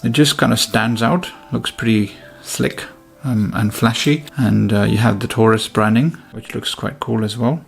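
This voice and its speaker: A man's voice: on the low side, steady tone, moderate pace, vocal fry, hardly any pauses, faint music behind. A man doing a casual, hands-on product review, thinking aloud informally as he demonstrates. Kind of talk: casual unboxing and review commentary.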